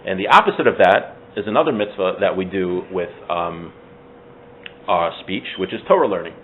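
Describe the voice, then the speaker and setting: A man's voice: low-pitched, expressive, normal speed. A man giving an informal religious class as its teacher, conversational, explaining texts with everyday examples. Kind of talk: informal religious lecture with Hebrew source readings translated. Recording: muffled phone-like audio with faint background noise.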